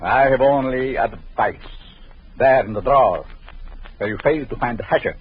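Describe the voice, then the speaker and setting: An actor playing a cruel, vengeful doctor in an old radio drama, taunting in a slow, sinister way. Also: male voice, mid-range voice, slightly rough. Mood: menacing. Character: vengeful jealous male doctor, gloating and cruel. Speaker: villain. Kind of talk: 1940s radio acting. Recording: muffled old radio recording.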